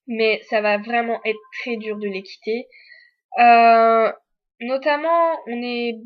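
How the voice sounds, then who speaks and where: A woman's voice: a little high, highs cut off. A teenage girl from France, an exchange student, chatting candidly to the camera with many fillers. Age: teens. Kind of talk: casual chat to camera.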